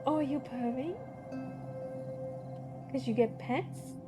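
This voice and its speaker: A woman's voice: sits high, takes it slowly. A woman livestreaming a game, chatting casually in a playful, rambling way. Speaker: VTuber game streamer. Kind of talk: casual livestream chat while gaming. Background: loud game music.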